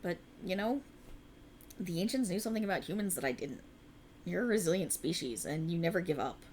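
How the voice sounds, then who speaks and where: A woman voicing a young alien woman in an ASMR roleplay, an earnest, teasing friend hiding as a human, who swears bluntly and talks softly and close. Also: fairly low pitch, fairly quick, slight background noise, close to an everyday voice. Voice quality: soft, intimate and lively, with blunt teasing and swearing.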